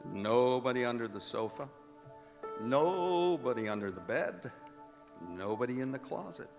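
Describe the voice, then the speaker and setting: A man narrating a Christmas radio drama with measured, dramatic storytelling. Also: medium pitch. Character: omniscient storyteller of a Victorian Christmas tale. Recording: reverberant hall with music.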